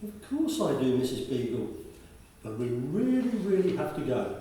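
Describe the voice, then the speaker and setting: A man's voice: medium pitch, sing-song, moderate pace, mellow, natural voice. A man playing a courteous, apologetic driver in a radio play. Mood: polite, insistent. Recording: very echoey, distant, faint noise.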